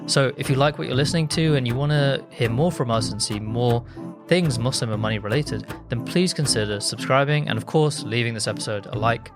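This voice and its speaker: A man's voice: mid-range voice, brisk, music in the background. A man hosting a podcast interview, friendly and affirming, laughing often with his guest. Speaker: podcast host. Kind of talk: conversational interview.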